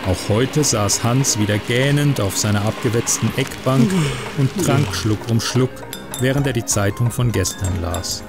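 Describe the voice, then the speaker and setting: A man reading a literary short story in measured, scripted narration. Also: medium pitch, gravelly. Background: music under the voice.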